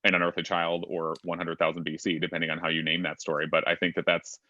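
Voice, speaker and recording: Quick, low-voiced male moderator, muffled dry audio. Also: monotone, sharp tone, no echo. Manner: quick, reads out chat comments. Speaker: panel moderator. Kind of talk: casual panel discussion.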